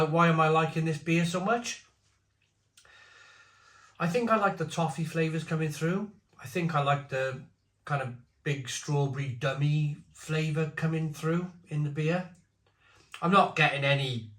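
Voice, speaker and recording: A man's voice: fairly high pitch, a bit bright. A man in his forties giving a casual, opinionated beer review, talking bluntly in rambling asides. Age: 40s. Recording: roomy echo.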